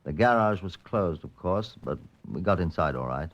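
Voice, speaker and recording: An actor playing a dry, steady chief inspector in a 1950s radio crime drama, clipped and understated. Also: male voice, deep voice, moderate pace. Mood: measured narration. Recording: muffled, hissy old-time radio recording.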